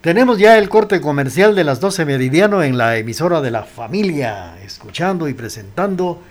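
A man's voice: a little high, animated, normal speed. A man hosting a radio show, reading local news and song dedications in a formal announcer manner. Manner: formal broadcast announcer delivery. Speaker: radio host.

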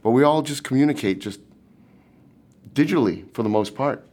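A man's voice: medium pitch, expressive, brisk, darkish tone. A man from Boston with a light Boston accent, answering interview questions in a rambling way, with many fillers and restarts. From Boston, USA. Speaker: startup team member. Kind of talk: casual interview talk.

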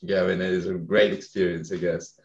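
A man's voice: low voice, little pitch movement, fairly quick, gravelly, roomy sound, lo-fi sound. A man from Italy with an Italian accent hosting a podcast interview in English, hesitant and searching for words. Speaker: podcast host.

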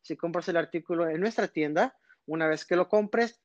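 A man's voice: a little high, normal speed, dry recording. Rambling man, muffled call audio. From born in Texas, raised in northern Mexico. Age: 30s. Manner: rambling, storytelling, frequent fillers. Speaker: guest magician. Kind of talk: casual podcast interview.

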